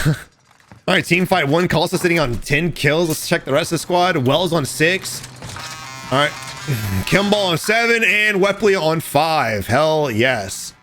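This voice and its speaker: A man casually commenting over game footage, animated and profane, talking straight to his viewers, his voice going a little hoarse. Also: fairly high pitch, measured pace, faint music behind. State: losing his voice. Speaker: gaming commentator.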